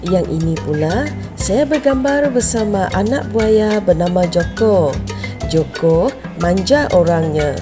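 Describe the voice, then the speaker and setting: Slow-paced Malaysian woman narrator over music. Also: medium pitch, animated. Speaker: vlogger and narrator. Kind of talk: voice-over narration of a wildlife park visit for children.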